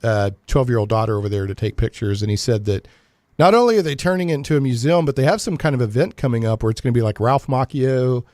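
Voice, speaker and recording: Casting: male radio host, chummy and rambling, middle-pitched voice, dry intimate recording. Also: quick pace, a little mellow, no echo. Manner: chummy, jokey, digressive. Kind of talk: casual, rambling interview chat.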